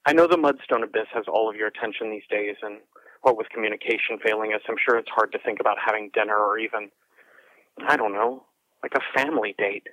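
A man's voice: lowish voice, fast talker, sharp tone, dry recording, rough recording. An actor playing an anxious, tender man who leaves a hesitant, rambling voicemail for his partner over the telephone. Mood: tentative, pleading. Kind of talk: audio drama voicemail monologue.

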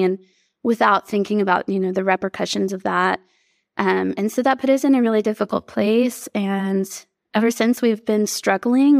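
A woman in her thirties with a mid-range voice, recorded in a dry, close room. She talks candidly and haltingly, with plenty of "um" and "like," as she opens up about money struggles. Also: mid-range voice, dry recording. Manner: candid, filler-heavy, halting. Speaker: guest. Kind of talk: casual personal story.

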